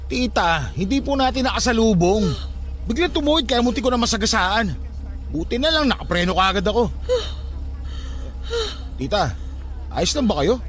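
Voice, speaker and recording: An actor playing an irritable, blunt, argumentative grown nephew in a radio drama. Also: male voice, sits high, lively intonation, moderate pace, long pauses, a bit bright. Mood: concerned. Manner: argumentative, sarcastic, disrespectful. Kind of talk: Tagalog radio drama acting.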